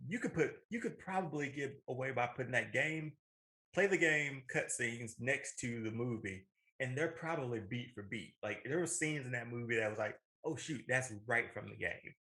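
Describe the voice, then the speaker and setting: A man with a bright, forward voice at a middle pitch co-hosts a casual discussion show. He talks emphatically and loosely, often doubling back to rephrase what he just said. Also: moderate pace. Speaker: podcast co-host. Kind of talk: casual animated movie discussion.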